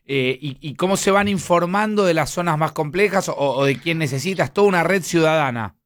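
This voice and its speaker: A man's voice: a little high, brisk, a bit bright. A man with an Argentine accent hosting a live news interview, speaking with many fillers and restarts. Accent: Argentine Spanish. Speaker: radio news host.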